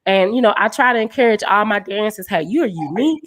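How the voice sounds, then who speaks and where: A woman with a deep voice, hosting a casual podcast chat and speaking in an earnest, encouraging way as a dance team leader. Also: expressive, a little fast, a bit bright.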